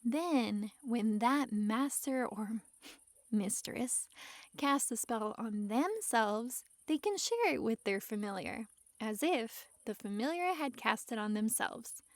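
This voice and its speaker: High, unhurried, coy fox girl acted by a woman. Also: animated, no room sound, studio quality. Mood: soft explaining. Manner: soft, breathy sighs and giggles, coaxing, hesitant asides. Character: young female kitsune fox girl, coy, doting, gently possessive. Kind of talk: intimate ASMR roleplay acting.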